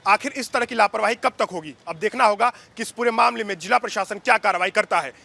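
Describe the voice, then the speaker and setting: A man's voice: sits high, fast talker, vocal fry, bright, forceful tone. A man delivering a scripted news report as a reporter, with indignant rhetorical questioning.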